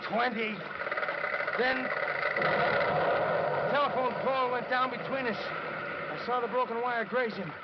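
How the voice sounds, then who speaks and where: An actor with an American accent playing a shaken, haunted newspaper reporter in an old radio thriller, in clipped, suspenseful phrases. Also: male voice, extremely high pitch, steady tone, measured pace, long pauses, very noisy. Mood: tense, haunted. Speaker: narrator and lead actor. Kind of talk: 1940s-50s radio thriller acting. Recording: muffled old radio recording, loud music.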